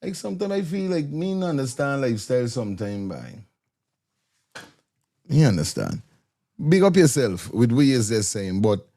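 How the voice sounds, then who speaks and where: A man's voice: a little high, dark tone. Rambling Guyanese man in casual chat. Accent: Guyanese Creole. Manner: loose, rambling, stammering restarts, laughs often. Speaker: co-host.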